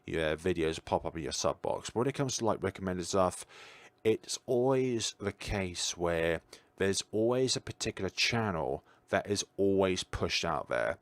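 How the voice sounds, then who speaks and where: A man's voice: low voice, expressive, moderate pace, no echo. A tired man musing aloud in a casual livestream chat, answering his viewers' questions as he goes. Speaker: streamer.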